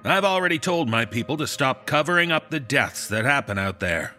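An actor playing a blunt, smug small-town authority figure making folksy threats in an audio drama. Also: male voice, mid-range voice, lively intonation, brisk, bright, forceful tone, faint music behind.

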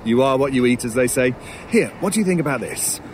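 An actor playing an urbane, sardonic crow in an audio drama, wordy and mockingly polite in a pompous way. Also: male voice, medium pitch, expressive, quick pace, lightly acted. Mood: wry, amused. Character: urbane, sardonic male talking crow, gleefully macabre scavenger. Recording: clear outdoor noise with cawing crows. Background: outdoor ambience, crows, vehicles.

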